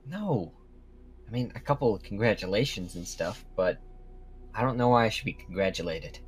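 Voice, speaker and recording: A man's voice: fairly low pitch, expressive, normal speed, a little hiss or hum, lightly acted. A man playing an earnest, guilt-ridden young werewolf student, talking in a hesitant, conversational way in a game roleplay. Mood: uneasy, regretful. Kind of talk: casual scripted Minecraft roleplay.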